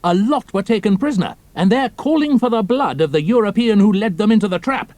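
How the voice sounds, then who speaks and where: An actor playing an eager young British airman in an audio drama, with a chatty, colloquial, animated manner. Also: sits high, fairly quick, dry recording. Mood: breezy, informative.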